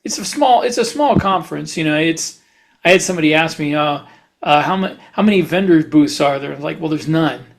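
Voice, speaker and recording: A man co-hosting a podcast in casual chat, talking in a halting, rambling way. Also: fairly high pitch, vocal fry. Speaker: podcast host; writer.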